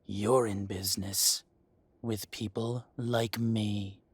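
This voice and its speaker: Slow, low, menacing mafia boss, played by a man. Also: little pitch movement, slightly rough, no room sound. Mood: mocking. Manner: mock-polite, taunting, deliberate menace. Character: menacing possessive male dragon shifter mafia boss. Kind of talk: scripted roleplay audio drama, addressing the listener.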